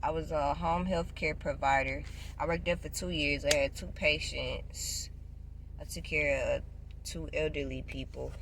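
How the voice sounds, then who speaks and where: A woman in her twenties chatting casually to camera, giving job advice, loud and playful and repeating herself often. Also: low voice, steady tone, a little slow, creaky, a little hiss or hum, poor audio. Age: 20s. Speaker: vlogger.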